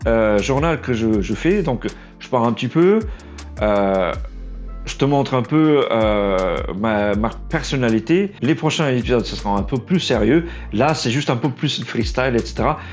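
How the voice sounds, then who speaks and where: A young man with a Portuguese accent talks loosely to camera in French, tripping over words and circling back as he goes. His pitch sits in an ordinary middle range, his voice sounds a bit worn, and faint music plays under him. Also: lively intonation. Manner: halting, stumbles and restarts often, self-deprecating. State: losing his voice. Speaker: aspiring trailer editor, vlog host. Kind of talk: unscripted casual vlog monologue.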